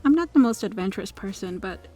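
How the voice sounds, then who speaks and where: A woman's voice: medium pitch, lively intonation, fast talker, mellow, natural voice. A woman playing a kind, protective restaurant regular in an ASMR roleplay, speaking in a polite, articulate, reassuring way. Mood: reassuring, upbeat. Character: kind, well-spoken female restaurant regular, firmly protective. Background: music.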